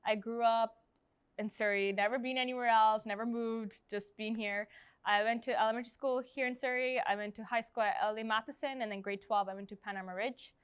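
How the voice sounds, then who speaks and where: A woman's voice: fairly high pitch, fairly even intonation, brisk, smooth, dry recording. Young woman from Canada, Punjabi first language, upbeat and chatty, clean dry close mic. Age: 20s. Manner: chatty, upbeat, lots of fillers and restarts. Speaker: interviewee, schoolteacher. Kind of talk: oral history interview.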